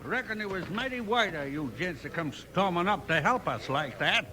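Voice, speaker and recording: Very high-pitched frontier mayor. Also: male voice, animated, normal speed, bright, forceful tone. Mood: eager. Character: excitable folksy small-town frontier mayor. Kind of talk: 1950s western radio acting. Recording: noisy vintage radio with effects.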